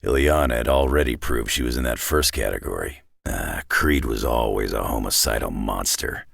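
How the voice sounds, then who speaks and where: An actor playing a gruff, battle-worn older mutant brawler in a video game, with a deep gravelly rasp and a terse, sardonic, folksy tough-guy delivery. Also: normal speed, fairly bright tone, no room sound, clean studio sound, heavily acted. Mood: dry, gruff. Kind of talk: video game character voice lines.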